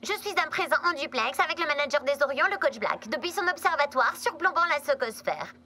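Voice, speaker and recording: A woman voicing a brisk, polished female television news reporter in a cartoon dub, with a presenterly delivery. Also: sits high, animated, bright, forceful tone, a little hiss or hum. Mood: presenting. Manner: presenterly, crisp.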